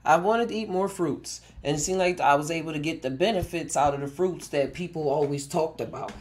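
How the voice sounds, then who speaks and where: A man's voice: fairly high pitch, a little fast. A man in his twenties hosting a casual solo podcast, rambling with frequent chuckles and restarted sentences. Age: 20s. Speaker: podcast host.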